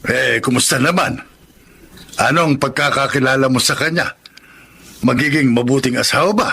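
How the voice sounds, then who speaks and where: A man's voice: mid-range voice, normal speed, gravelly, pushed and bright, husky, exaggerated voice. An actor playing a nosy, caring middle-aged Filipino father in a radio drama, folksy and full of advice. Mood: concerned, advising. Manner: folksy, advising.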